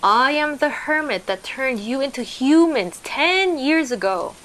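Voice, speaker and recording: A woman's voice: high-pitched, lively intonation, a little slow, fairly bright tone, pitched above the performer's own voice. Woman reading as a stern old hermit, noisy recording. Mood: stern, scolding. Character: old male hermit, beggar in disguise, stern, scolding.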